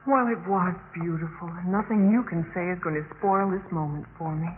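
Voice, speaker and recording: A woman's voice: on the low side, a little fast, natural voice. Woman acting spirited college girl, old noisy radio. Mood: defensive. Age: young adult. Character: eager, spirited young college sorority pledge, sentimental and self-assured. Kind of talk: 1950s radio drama acting. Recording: muffled, noisy 1950s radio broadcast.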